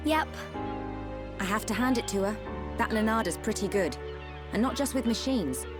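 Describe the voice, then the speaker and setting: An actress playing a loyal, spirited young heroine in a video game. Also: female voice, medium pitch, animated, normal speed, over music, close to an everyday voice. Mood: bright, admiring. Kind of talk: video game cutscene acting.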